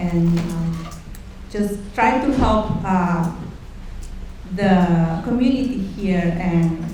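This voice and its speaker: A middle-aged woman giving a measured, somewhat hesitant talk to an audience about her own career as an educator. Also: lowish voice, warm tone. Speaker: university sonography educator. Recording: very echoey room, distant mic, faint noise.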